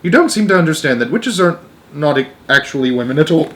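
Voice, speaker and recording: Man as old grandmother, storybook character, knowing, grave, emphatic, lively intonation. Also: fairly high pitch, fast talker, voice pushed up. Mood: emphatic, relishing. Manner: emphatic, matter-of-fact explaining. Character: old grandmother, knowing, grave, relishing grim witch lore. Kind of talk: storybook read-aloud character.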